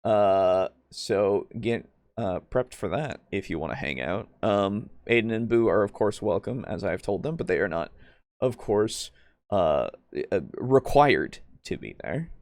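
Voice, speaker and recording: A man hosting a casual tabletop gaming livestream, talking in a halting way with jokey, self-deprecating asides. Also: on the low side, expressive, a little mellow, no echo. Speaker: host of a tabletop roleplaying livestream.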